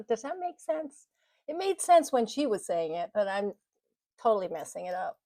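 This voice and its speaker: A middle-aged woman chats to camera about money in a loose, meandering way, stopping to correct herself and laughing now and then. Her voice sits at an ordinary pitch for a woman. The sound is close and dry, with no room around it. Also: very animated, normal speed, mellow, dry recording. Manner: rambling, self-correcting, laughs often. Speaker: vlogger. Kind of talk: casual chat to camera. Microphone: mic on the desk, bumped at times.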